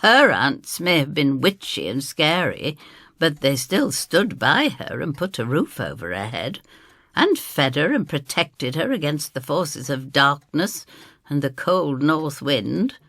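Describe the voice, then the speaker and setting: Older female narrator, husky and creaky, dramatic first-person storytelling. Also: low voice, sing-song, unhurried, a bit bright, dry recording, studio quality.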